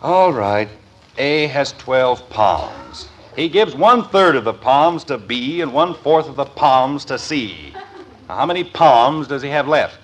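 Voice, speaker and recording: A man's voice: mid-range voice, very animated, measured pace, a little hiss or hum. An actor playing a middle-aged, fussy, sarcastic father losing his patience in a vintage 1950s radio comedy. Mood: irritated. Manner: exasperated, emphatic. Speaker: lead actor in a radio sitcom. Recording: vintage radio broadcast recording.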